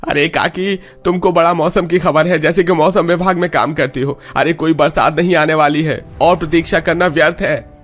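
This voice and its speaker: High-voiced brash village farmer played by a man. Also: steady tone, normal speed, fairly bright tone. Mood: insistent.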